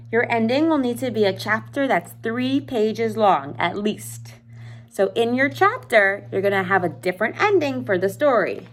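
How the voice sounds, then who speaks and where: A woman schoolteacher explaining an assignment to young students in an informal, encouraging way, speaking to them directly and posing questions. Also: mid-range voice. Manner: encouraging, instructional, with rhetorical questions; informal, addresses listeners directly.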